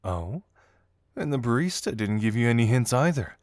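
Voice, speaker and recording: A playful young male detective, acted by a man in an intimate audio roleplay. He is teasing and theatrical, musing aloud to the listener with very animated intonation. The sound is dry, with no room around it. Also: medium pitch, normal speed, dry recording, natural voice. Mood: teasing, intrigued. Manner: teasing, theatrical, musing hums and soft chuckles.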